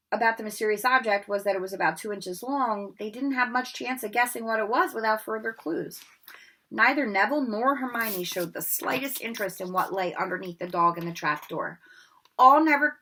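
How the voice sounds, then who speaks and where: A woman reading a children's novel aloud in an expressive storybook manner, with occasional slips and rereads. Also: medium pitch. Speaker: read-aloud narrator.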